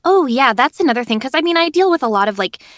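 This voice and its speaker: A young adult woman, a voice actress, talking in a casual podcast interview, chatty and animated with lots of "like" and "you know". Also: sits high, fast talker, no room sound, studio quality. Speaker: voice actress guest.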